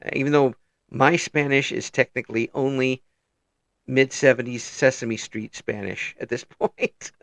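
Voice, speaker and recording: A man with a gravelly voice hosts a relaxed interview, circling through fillers and restarts as he works toward his point. He chuckles often between thoughts. The sound is dry and close. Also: medium pitch, unhurried, dry recording. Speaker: interview host. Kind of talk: casual interview chat.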